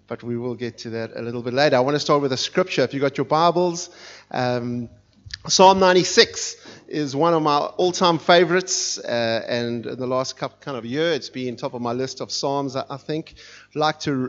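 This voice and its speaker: A middle-aged man preaching as a guest in a church, talking with energy and reading scripture with rising force. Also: moderate pace. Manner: energetic, fired up, reads scripture with rising intensity. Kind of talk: sermon. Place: church sanctuary. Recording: dull, muffled low-fidelity sound.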